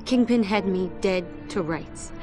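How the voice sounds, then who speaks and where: An actress playing a guilt-ridden but resolute vigilante in a video game, serious and terse. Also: female voice, medium pitch, unhurried, over music, lightly acted. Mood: remorseful, resolute.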